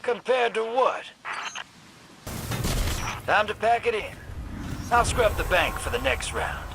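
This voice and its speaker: Shady streetwise drifter for a game: teasing folksy slang, animated pitch, takes his time. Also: slow pace, noisy background, heavily acted. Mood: sly, teasing. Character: shady, streetwise, sardonic male drifter rogue. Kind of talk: video game voice acting.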